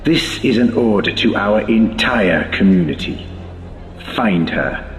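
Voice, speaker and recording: A man's voice: lowish voice, lively intonation, unhurried, roomy sound, a little hiss or hum. An actor playing a cold, commanding male cyborg leader giving a city-wide broadcast order.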